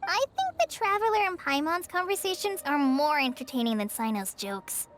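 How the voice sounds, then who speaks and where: A woman playing a sweet, caring young woman in an animated video game. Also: sits high, moderate pace, a little hiss or hum. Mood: amused.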